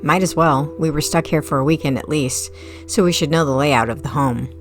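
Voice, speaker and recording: A woman's voice: sits low, brisk, music in the background, close to an everyday voice. A woman voicing a sardonic, skeptical female bartender in an audiobook, dry and sarcastic. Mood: grudging.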